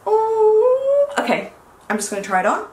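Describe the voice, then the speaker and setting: A young woman with a croaky, hungover voice, chatting excitedly in a casual try-on haul vlog. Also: extremely high pitch, normal speed. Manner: excitable and gushing. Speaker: fashion vlogger. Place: small bedroom, indoors. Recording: echoey room.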